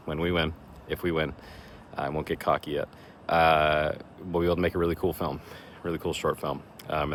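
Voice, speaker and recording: A man with a very low voice films himself outdoors and chats to camera, rambling with fillers and poking fun at himself. His voice is a little raspy, and faint outdoor noise sits behind him. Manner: chatty, self-deprecating, rambling with fillers. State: slightly raspy voice. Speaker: horror contest vlogger. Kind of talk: casual vlog to camera. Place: outdoors in woods. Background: faint leaf blower and vehicle noise.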